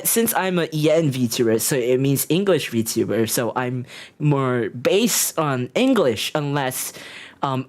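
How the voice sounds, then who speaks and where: A young woman VTuber chatting casually with her live chat. She giggles often, talks with many fillers and restarts, and sounds self-conscious. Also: rumbling low. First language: Mandarin. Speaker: VTuber streamer.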